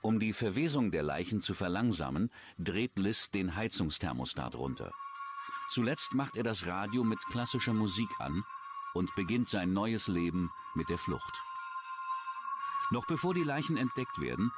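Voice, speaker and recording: Deep-voiced man narrating true crime over music. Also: very animated, normal speed.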